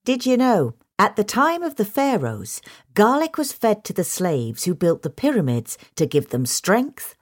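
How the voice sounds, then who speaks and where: A woman reading a gardening article aloud as scripted narration. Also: fairly low pitch. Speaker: article reader.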